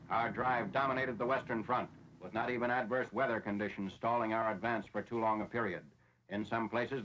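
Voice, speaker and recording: A man's voice: medium pitch, fairly bright tone, a little hiss or hum. Dull vintage recording of an American newsreel man. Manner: formal, matter-of-fact reporting. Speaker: military newsreel narrator. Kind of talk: 1940s newsreel scripted narration. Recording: dull, lo-fi 1940s film soundtrack.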